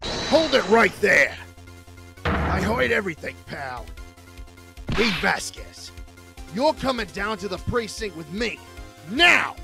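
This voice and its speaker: High gravelly male voice, man acting blustering detective, blunt, forceful, game dub. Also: big pitch swings, takes it slowly, long pauses, music in the background, full character voice. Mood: commanding. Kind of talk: amateur fandub of a video game.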